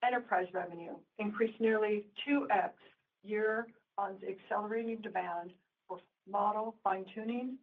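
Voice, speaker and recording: A distant, thin phone-line sound carries a woman executive's mid-pitched voice. She reads prepared financial remarks in a formal, scripted way, as on a corporate earnings conference call. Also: normal speed. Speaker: company executive presenting results.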